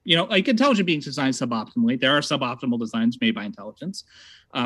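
A man's voice: on the high side, fairly bright tone, no room sound. A man making a prepared debate argument over a video call, emphatic and wry.

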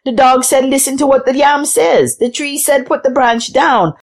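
A woman voicing a frightened, excitable male farmer in a folk tale, agitated and breathless. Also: fairly high pitch, normal speed, pitched above the performer's own voice, lightly acted.

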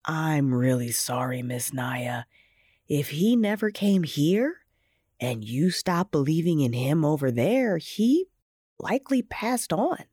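A woman playing a wise, gentle imaginary friend in an audio fairy tale, patiently explaining in a husky, theatrical way. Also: low-pitched, expressive, slow pace, no echo, clean studio sound, exaggerated voice. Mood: sorrowful.

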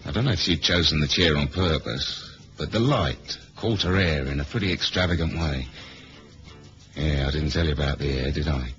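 Very deep, wry British spy. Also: male voice. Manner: deadpan, sardonic, casual. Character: wry, laconic British male spy, womanizing and casual. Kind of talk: 1970s radio drama, first-person spy narration and dialogue. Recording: dull lo-fi old radio recording, hiss.

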